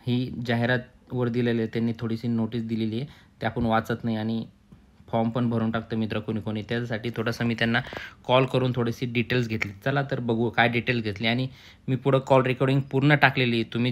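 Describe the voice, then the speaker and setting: Fast-talking young man, fairly even pitch. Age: young adult. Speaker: student making an exam and job information video. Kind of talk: informal explainer to viewers.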